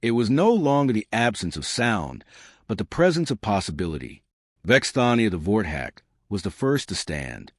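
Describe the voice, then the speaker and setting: Low-pitched male story narrator, expressive delivery. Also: normal speed, dry recording, studio quality. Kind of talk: scripted audiobook-style science fiction narration.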